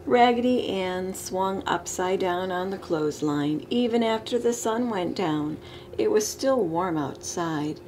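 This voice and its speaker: A middle-aged woman reading a children's picture book aloud with expressive, emphasized storybook delivery. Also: mid-range voice. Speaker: storytime reader. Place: living room, indoors. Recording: clear background room noise.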